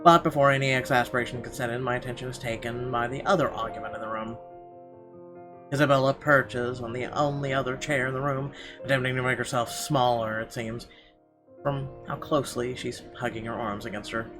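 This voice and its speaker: A man reading a visual novel aloud as dramatic first-person narration, expressive with occasional stumbles. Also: medium pitch, brisk. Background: guitar music.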